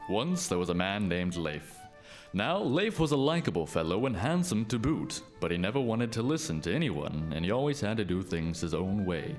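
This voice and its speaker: A man's voice: lowish voice, sing-song, moderate pace, soft background music. A man playing a storyteller, narrating a scripted folktale. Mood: storytelling.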